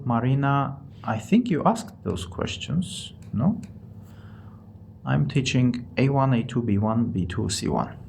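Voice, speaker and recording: A man with an Armenian accent hosts a casual live English lesson. He speaks at a mid pitch and rephrases each point slowly for his learners. A faint hiss sits under his voice. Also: gravelly, a little mellow. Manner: slow, repeats and rephrases words for learners. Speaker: language teacher on a live stream.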